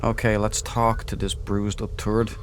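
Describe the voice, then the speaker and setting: A man's voice: on the low side, level tone, unhurried, slight background noise. A man giving casual, jokey and crude commentary as a let's play host.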